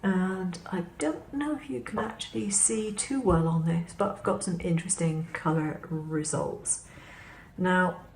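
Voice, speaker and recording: A slightly hoarse woman talks through her craft materials in a relaxed, chatty way, taking her time over each one. Her voice sits a little low. The recording is roomy, with a faint hum behind her. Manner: unhurried, chatty explaining while handling materials. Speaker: craft vlogger. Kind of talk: casual product demonstration. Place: indoors at a crafting table.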